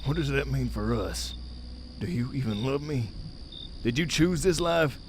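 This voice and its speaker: Man playing a wounded, animated, soft-hearted lover. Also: mid-range voice, a little slow, noisy background. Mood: hurt, bewildered. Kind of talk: audio drama acting.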